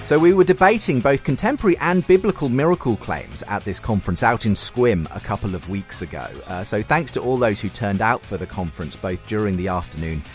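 A man's voice: on the low side, animated, fairly quick, few pauses, darkish tone, soft background music. A man presenting a radio programme as its host, introducing items and guests.

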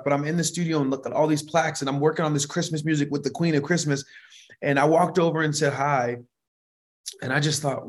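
A middle-aged man with a high voice, a worship leader telling stories in a relaxed, animated way in an interview, laughing often and restarting sentences. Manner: animated, laughs often, frequent restarts and "you know what I mean". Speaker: worship leader and vocal producer, interview guest. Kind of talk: relaxed conversational storytelling.

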